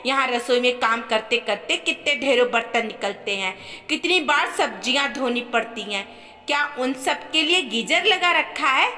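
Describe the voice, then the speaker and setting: A woman's voice: sits high, animated, normal speed, bright, forceful tone. A woman storyteller voicing a bossy, stingy old mother-in-law who taunts and commands in a family storybook tale. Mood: taunting complaint. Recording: long reverberant echo on voice.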